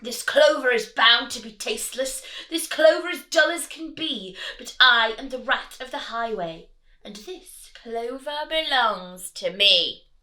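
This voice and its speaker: A woman plays a greedy rat highwayman from a children's storybook. He declaims loudly in a bright, forceful voice, bossy and boastful, making slow, swaggering demands. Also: lively intonation, roomy sound. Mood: scornful. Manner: loud, commanding, boastful, declamatory.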